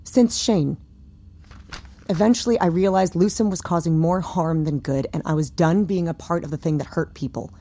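An actress playing a guarded, defiant whistleblower giving dry, terse answers in an audio drama. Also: female voice, fairly low pitch, moderate pace, warm tone. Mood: earnest, defensive. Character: guarded, defiant whistleblower woman under questioning.

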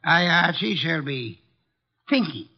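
An actor playing an imperious, irritable older detective in a 1950s radio drama, with pompous, precise and disdainful diction. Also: male voice, on the high side, expressive, slow, faint music behind, exaggerated voice. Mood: lofty, brisk. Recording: dull lo-fi vintage radio recording.

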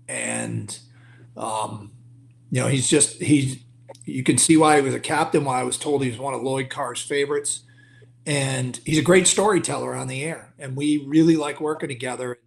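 A man, a sports broadcaster, chatting casually as a guest and telling anecdotes in a self-deprecating, halting way. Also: medium pitch, gravelly. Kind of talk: casual interview chat, anecdotes.

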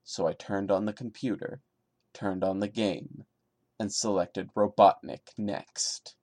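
A man with a fairly low voice, recorded dry and close. He reads a story aloud with dramatic delivery, then breaks off into sarcastic, exasperated commentary in the manner of a mocking podcast host. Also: steady tone, slow, darkish tone, no room sound. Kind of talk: dramatic reading with mocking asides.

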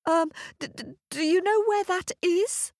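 A woman's voice: sits high, moderate pace, no echo, studio quality, pitched above the performer's own voice, lightly acted. A woman voicing a timid young girl on a quest, who speaks eagerly and stammers breathlessly.

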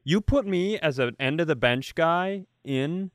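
Smooth, crisp-voiced man telling casual stories. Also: fairly high pitch, expressive, measured pace, dry recording, clean studio sound. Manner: profane, jokey banter. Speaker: comedy podcast co-host. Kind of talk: casual comic storytelling.

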